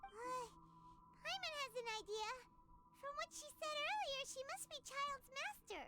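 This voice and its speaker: Game sidekick: little fairy girl, very high, animated, chatty, excitable, over loud music. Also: normal speed. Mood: musing. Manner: refers to self in third person, hesitant, excitable. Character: small floating fairy girl companion, chirpy, eager, talkative. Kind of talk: video game cartoon-like character acting.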